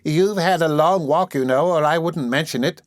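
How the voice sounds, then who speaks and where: A man's voice: on the high side, normal speed, no echo, studio quality, voice pushed up, natural voice. A man putting on a gushing, fawning old-fashioned nursemaid. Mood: wheedling. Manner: gushing, wheedling, overly humble. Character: fawning, scheming middle-aged woman running a workhouse nursery.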